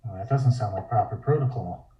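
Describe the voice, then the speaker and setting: A man playing a reserved, probing police detective in a script table read, with measured, formal questioning. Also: lowish voice, warm tone, echoey room, far from the mic, dull audio, close to an everyday voice. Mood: dry, skeptical.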